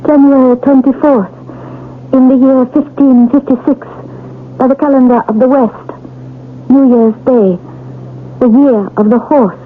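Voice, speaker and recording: An actress playing a troubled wife in a trance, speaking in a solemn, halting, dramatic manner in a 1970s radio mystery. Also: female voice, sits high, fairly even intonation, slight background noise. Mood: trance-like, foreboding. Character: troubled wife in a trance, bleakly foretelling doom. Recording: tinny old radio broadcast, music behind.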